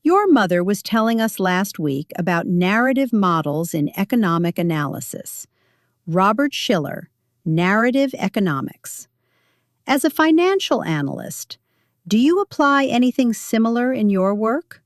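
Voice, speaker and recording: A woman narrator voicing a shrewd older woman who asks measured, formal, probing questions in a story. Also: medium pitch, very animated, no echo, studio quality. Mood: polite, pointed.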